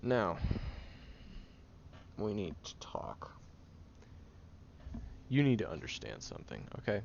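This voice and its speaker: A man voicing a gruff, tired young tsundere man who secretly cares, halting and grumpy, in an intimate audio roleplay. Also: lowish voice, huge pitch swings, a little mellow, heavily acted. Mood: earnest, scolding. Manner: halting, sighing, grumpy and flustered. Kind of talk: intimate audio roleplay, acted monologue to the listener. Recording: dull lo-fi, clear background noise.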